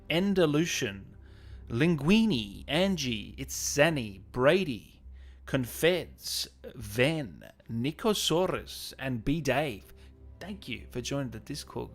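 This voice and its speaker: Effusive male livestream host over music. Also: mid-range voice. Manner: effusive and hype, with drawn-out shout-outs and frequent thanks. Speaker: livestream host and channel owner. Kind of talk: casual livestream chat, reading out viewer messages. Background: music playing behind the voice.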